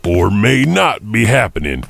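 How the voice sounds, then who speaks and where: A man with a gravelly voice narrating as a spooky storytelling host, drawn-out and theatrical. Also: lowish voice, big pitch swings, a bit bright. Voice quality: gravelly, creaky.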